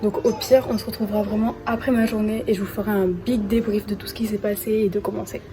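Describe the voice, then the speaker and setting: A woman's voice: mid-range voice, fairly even intonation, quick pace, music in the background. A teenage girl vlogging in a casual, chatty way, with lots of little fillers as she talks. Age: teens. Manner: chatty, bubbly, lots of fillers like euh and bah. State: stuffy nose, losing her voice. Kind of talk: casual vlog chat.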